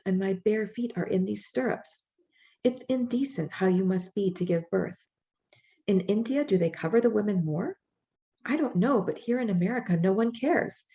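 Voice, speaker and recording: A woman voicing a young immigrant mother in labor, reading her thoughts in the first person in a plain, gently rueful way. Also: mid-range voice, vocal fry, lightly acted.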